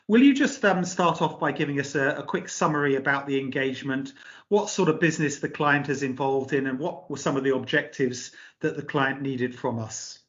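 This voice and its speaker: A man's voice: medium pitch, normal speed, a bit bright, lo-fi sound. Polite man hosting a business interview. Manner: polite, formal, prompting questions. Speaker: interview host, company director.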